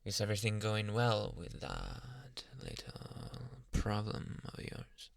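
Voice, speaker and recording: A man playing a possessive yandere psychiatrist in an ASMR roleplay, soft-spoken, polite and quietly menacing, with hushed, insinuating questions. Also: on the low side, wildly swooping pitch, vocal fry, no echo, studio quality, exaggerated voice. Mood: soft, probing. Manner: slow, hushed, insinuating, many pauses and questioning hums. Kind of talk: ASMR roleplay, close whispering.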